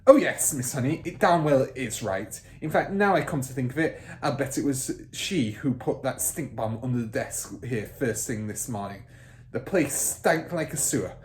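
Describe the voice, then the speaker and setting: A man reads the part of a fierce middle-aged headmistress from a children's storybook. She barks her words loudly and scornfully, bullying whoever listens, with big swoops in pitch. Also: animated, normal speed, a little hiss or hum, natural voice. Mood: spiteful, contemptuous. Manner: barking, shouting, snorting and scornful. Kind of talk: children's storybook character reading.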